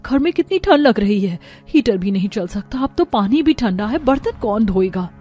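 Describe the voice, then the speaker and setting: A woman's voice: mid-range voice, lively intonation, moderate pace, mellow, close to an everyday voice. A woman playing a spoiled, quarrelsome rich housewife in a cartoon story, with a complaining, demanding manner. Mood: whining. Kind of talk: cartoon moral-story dialogue.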